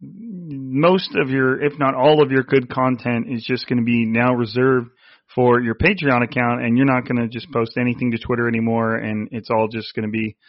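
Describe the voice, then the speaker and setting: A man's voice: mid-range voice, fairly even intonation, no echo. A man with a nasal voice hosting a casual sports podcast, rambling and restarting often. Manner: rambling, restarts, quick asides. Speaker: podcast host. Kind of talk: casual chat. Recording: dry, slightly dull.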